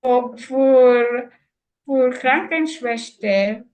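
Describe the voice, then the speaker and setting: A woman in her sixties with a Filipino accent and Tagalog as her first language, introducing herself haltingly as a student in a German class. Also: fairly high pitch, a little mellow. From Philippines. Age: 60s. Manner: halting, hesitant learner with many fillers.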